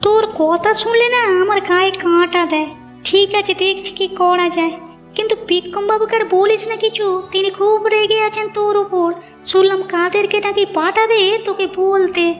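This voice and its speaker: A woman plays a cautious village neighbour in a cartoon fable. The voice is very high and comes out briskly, full of doubt and questions. Music sits faintly behind a slightly dull recording. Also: steady tone. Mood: doubtful concern. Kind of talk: cartoon moral-story voice acting. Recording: muffled audio with music behind.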